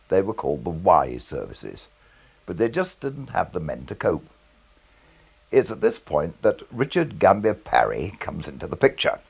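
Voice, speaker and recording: A British man presenting a documentary, telling the story in a measured, scripted way with conversational asides. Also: lowish voice, vocal fry, slightly rough, dry recording.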